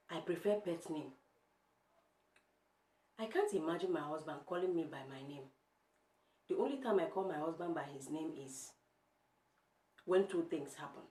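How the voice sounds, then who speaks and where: A woman's voice: fairly low pitch, a little mellow, a little hiss or hum. A young woman with a Nigerian Igbo accent, talking straight to her viewers in a casual opinion vlog and firing emphatic rhetorical questions at them. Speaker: vlogger. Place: home room. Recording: echoey room.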